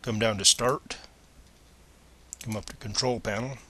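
Low, bright-voiced male tutorial presenter. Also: fairly even intonation, unhurried, breathy edge. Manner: plain, methodical, instructional. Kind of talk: step-by-step screencast instruction.

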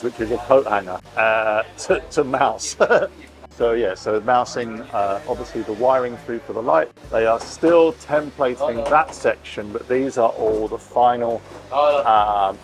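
A man in his forties giving an enthusiastic, emphatic walk-through tour to camera as a vlog host, repeating phrases and wearing a lapel mic. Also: mid-range voice. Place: inside a boat under construction and a large boatyard shed. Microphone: clip-on lapel mic.

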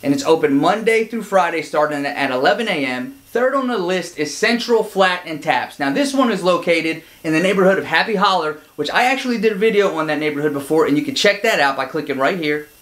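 A man in his forties, a real estate agent hosting his channel, chatting to camera with lively hand gestures in an indoor studio set. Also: fairly high pitch, fairly quick, few pauses. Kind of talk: scripted to-camera presentation.

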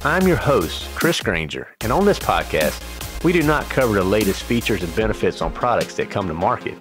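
A man's voice: lowish voice, sing-song, moderate pace, music in the background. A man hosting a podcast, introducing the show and chatting casually with his guest. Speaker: podcast host.

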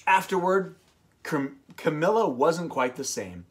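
A man in his thirties with a fairly high voice and very lively intonation. He co-hosts a read-aloud, with expressive storytelling and relaxed chat. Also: measured pace. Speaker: co-host of a read-aloud show. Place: small room indoors, on a couch.

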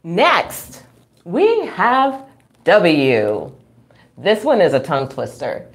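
A middle-aged woman reading a children's book aloud at home, expressive and playful, with chatty asides to young listeners. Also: fairly low pitch. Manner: expressive, playful, drawn-out reading, frequent chuckles and gasps. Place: small room at home.

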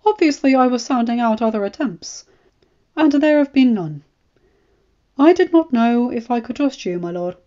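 Woman voicing a smooth, high-pitched scheming spymaster. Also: expressive, normal speed, warm tone, highs cut off, natural voice. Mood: smooth, knowing. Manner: indulgent, knowing, formal. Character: shrewd, smooth, scheming male royal spymaster.

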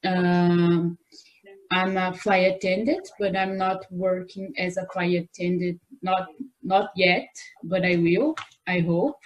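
A woman introduces herself to an online English class. She speaks very slowly and hesitates, dropping in small fillers as she goes. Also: lowish voice, flat delivery, lo-fi sound. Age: 20s. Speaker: English student. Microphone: video call.

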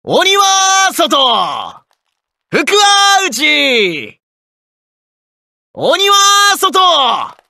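A man's voice: extremely high pitch, huge pitch swings, drawn-out words, creaky, pauses often, dry recording, clean studio sound, exaggerated voice. A man voicing a hearty, easygoing sword warrior in an anime game, rustic and bold with a Tosa accent. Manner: rustic, bold, long shouted calls.

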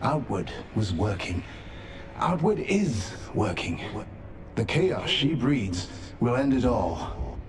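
A man's voice: mid-range voice, big pitch swings, slow pace. An actor playing a bitter, domineering middle-aged colony leader in a video game, impassioned and oratorical as he presses his point. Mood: impassioned, grim. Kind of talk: dramatic video game acting. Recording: music behind the voice.

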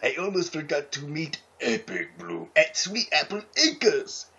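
A man puts on a bubbly young female cartoon pony, pitched way up and swooping with feeling. The sound is muffled, as on a voice call. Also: wildly swooping pitch, a little slow, pushed and bright, full character voice. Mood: panicked.

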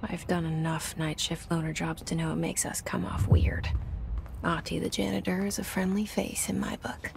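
A woman's voice: lowish voice, steady tone, moderate pace, creaky, in a whisper. An actress playing a wry, guarded young woman thinking to herself in a video game, dry and understated. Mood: wry, reflective. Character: wry, guarded young woman, reluctant new agency director. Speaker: protagonist, new director of an agency. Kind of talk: inner monologue in a video game.

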